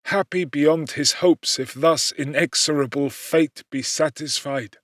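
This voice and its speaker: A man narrating classic literature as an audiobook in a solemn, measured, theatrical manner. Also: on the high side, fairly bright tone, dry recording, studio quality.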